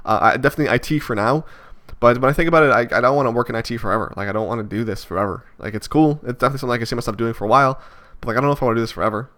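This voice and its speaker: A man's voice: medium pitch, very fast, hardly any pauses, fairly bright tone. A man in his twenties musing aloud in a vlog, hesitant and rambling, with many fillers and restarts. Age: 20s. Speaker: vlogger. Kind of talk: reflective casual monologue.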